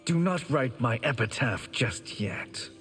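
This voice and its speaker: A sly sorcerer speaks slowly at a middling pitch. He is formal and insinuating, a scheming video game villain, with music behind him. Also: expressive, fairly bright tone. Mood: sly, threatening. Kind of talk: dramatic video game acting.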